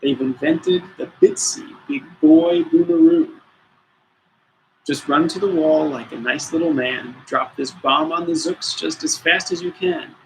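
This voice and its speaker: A man's voice: on the high side, measured pace, a little hiss or hum, poor audio. A man voicing a boastful, jolly chief commander who gives grand, encouraging rhyming orders in a storybook reading. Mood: confident, commanding.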